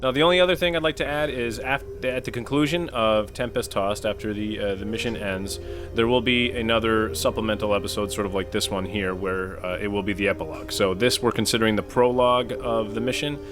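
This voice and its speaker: A man with a lowish voice chats casually as a podcast co-host and throws in joking asides. Music plays clearly under his voice. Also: steady tone, fairly quick. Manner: joking asides, friendly chat.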